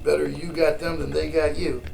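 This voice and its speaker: An actor playing a gruff, sardonic rebel mentor with folksy, brusque speech in a radio drama. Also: male voice, a little high, little pitch movement, normal speed, heavy background noise. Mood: wry warning. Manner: folksy slangy, wry, brusque.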